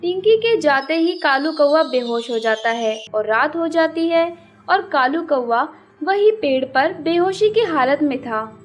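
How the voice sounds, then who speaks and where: A woman's voice: high voice, expressive, a little slow. A woman narrating a scripted children's moral story as the storyteller.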